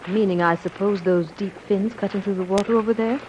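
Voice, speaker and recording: A woman's voice: medium pitch, steady tone, a little fast, darkish tone, lightly acted. Actress as a composed woman passenger, old muffled radio. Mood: calm, dismissive. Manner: composed, formal, earnest. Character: calm, guarded, fearless woman passenger of devout faith. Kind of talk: 1930s radio serial acting. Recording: noisy band-limited old radio recording.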